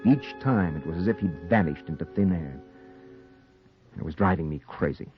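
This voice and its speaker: An actor playing a driven, guilt-ridden newspaper reporter, intense and brooding, in a 1940s noir radio drama. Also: male voice, deep voice, expressive, moderate pace. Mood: grim narration. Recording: muffled vintage 1940s radio recording, music behind.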